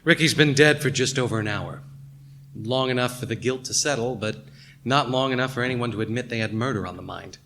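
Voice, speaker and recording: Guy acting moody detective in a radio mystery, clipped dramatic lines, bright voice, noisy recording. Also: mid-range voice, fairly quick, natural voice. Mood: grave, dramatic. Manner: terse, dramatic pronouncements. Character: self-appointed amateur detective, brooding and theatrical. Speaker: actor in a radio play. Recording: noticeable background noise.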